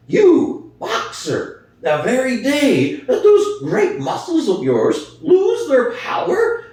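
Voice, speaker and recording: Man playing an old prize boar, fiery orator, slow deliberate delivery, wildly swinging pitch, echoey room. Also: a little mellow, exaggerated voice. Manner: impassioned speechmaking, rhetorical questions, swelling emphasis. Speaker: reader. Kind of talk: dramatic audiobook reading. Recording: very echoey room, far from mic.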